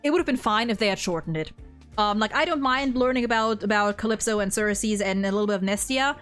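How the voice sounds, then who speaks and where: A woman's voice: medium pitch, quick pace, a bit bright, soft background music. A young woman chatting casually as the host of a gaming discussion, informal and sweary, gushing like a fan. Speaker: host of a video game ranking discussion. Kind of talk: casual chat.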